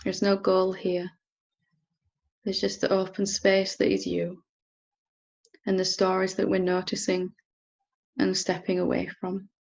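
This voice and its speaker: Female meditation teacher: soft instruction, level intonation, creaky texture, lingering pauses, somewhat muffled sound. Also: medium pitch, monotone. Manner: unhurried, gentle, with long pauses. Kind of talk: guided meditation, softly instructing.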